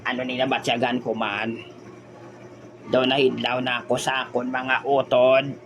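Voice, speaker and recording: A man's voice: mid-range voice, level tone, a little slow, lo-fi sound, noisy background, natural voice. A man in a comedic audio drama playing a muddled, homesick simpleton who halts, stutters and repeats words. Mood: wistful, muddled.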